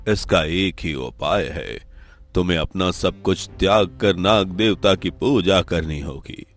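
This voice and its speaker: Man putting on a divine holy sage, very low voice, stern, solemn, judging, cartoon story, faint music. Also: unhurried. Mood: solemn instruction. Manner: solemn, pronouncing judgement. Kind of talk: Hindi cartoon story dialogue.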